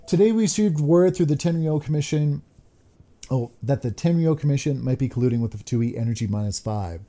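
Dark, mellow-voiced male gaming streamer. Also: mid-range voice. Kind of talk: let's play commentary, reading game text aloud. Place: indoor home room. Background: game music.

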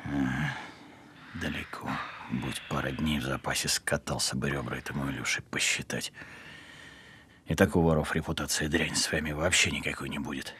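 An actor playing a tough, weary criminal on the run, sardonic and full of street slang, in an audio film. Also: male voice, extremely low pitch, fairly even intonation, normal speed, sharp tone, slight background noise. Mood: gruff, menacing.

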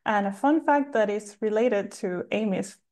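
A woman's voice: medium pitch, normal speed, darkish tone. A woman from Venezuela speaking as a panelist, cheerful and self-deprecating. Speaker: panelist, software engineer. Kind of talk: casual introduction.

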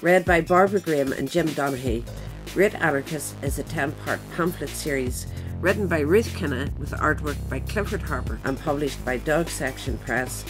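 A woman narrator reading a scripted political essay in a measured, written style. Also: sits low, music in the background, low-quality recording.

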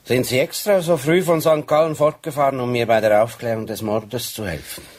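An actor playing a dry, probing police sergeant who questions people tersely in a radio crime drama. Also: male voice, mid-range voice, steady tone, a little fast, dry recording, lightly acted.

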